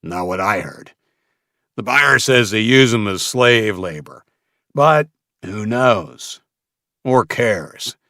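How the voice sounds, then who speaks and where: A man's voice: on the low side, animated, slow, pauses often, bright, forceful tone, dry recording, exaggerated voice. Slaver crew leader, played by a male narrator: blunt, callous, dismissive, dry close sound. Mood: callous, commanding.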